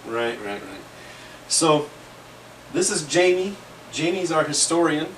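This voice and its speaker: A man in his thirties from the Midwest, casually introducing his team in a relaxed, joking way full of fillers. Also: fairly high pitch, takes it slowly, echoey room. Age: 30s. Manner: laid-back, joking, filler-heavy. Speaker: team leader introducing members. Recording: distant mic with background noise.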